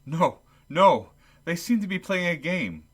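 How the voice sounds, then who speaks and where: A man's voice: a little high, big pitch swings, unhurried, fairly bright tone, husky, heavily acted. A man playing a humbled old miser in a radio play. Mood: humbled, wistful. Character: old miser Scrooge, humbled and watching his family.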